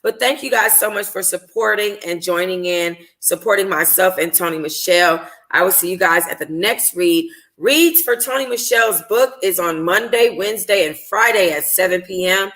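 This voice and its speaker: A woman hosting a book club, reading and chatting informally, sounding tired and slightly hoarse. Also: fairly low pitch, moderate pace, hardly any pauses, fairly bright tone. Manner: informal, conversational. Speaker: book club host reading a novel aloud. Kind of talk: expressive audiobook-style reading and casual livestream chat.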